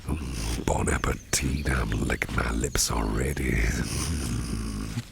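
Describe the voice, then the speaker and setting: A man playing a protective, faintly sinister businessman boss in an ASMR roleplay, speaking in a soothing, unhurried, gravelly half-whisper. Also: extremely low pitch, steady tone, very noisy, heavily acted. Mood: quietly menacing. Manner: soothing, unhurried, repeats reassurances. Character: sinister yet protective businessman boss, male, smooth-talking, doting.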